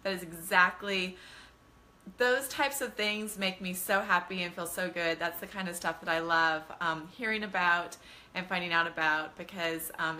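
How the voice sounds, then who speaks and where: A woman with a middling pitch and a clearly bright tone hosts an informal livestream. She talks as a children's author to her online followers, enthusiastic and effusive, in a loose conversational style. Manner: gushing, enthusiastic, greets viewers by name. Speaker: children's author hosting a live bedtime reading. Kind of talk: casual livestream chat with viewers.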